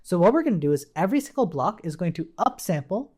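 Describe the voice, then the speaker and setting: A man's voice: high-pitched, sing-song, quick pace, darkish tone. A man giving an informal live-coding tutorial, thinking aloud as an instructor. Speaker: programming instructor. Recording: noticeable noise, keyboard clicks and typing. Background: computer keyboard typing.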